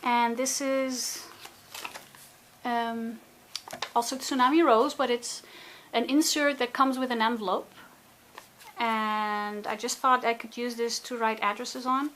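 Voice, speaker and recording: A woman's voice: fairly high pitch, steady tone, slow pace, a bit bright, slight background noise. A woman casually narrating a craft journal flip-through, chatty and gushing, often talking to herself. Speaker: craft video maker. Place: indoors at a table.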